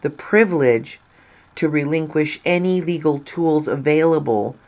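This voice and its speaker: Slow, deliberate woman reading aloud. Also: deep voice, a little mellow. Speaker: narrator reading an article. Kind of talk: scripted article narration.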